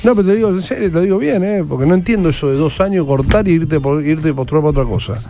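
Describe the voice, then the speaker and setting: An Argentine man hosts the interview, firing off blunt, slangy questions at a rapid clip. His voice is mellow and dark, with faint music running underneath. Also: a little high, lively intonation. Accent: Argentine Spanish. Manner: blunt and colloquial, quick questions. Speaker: interviewer host. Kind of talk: casual interview.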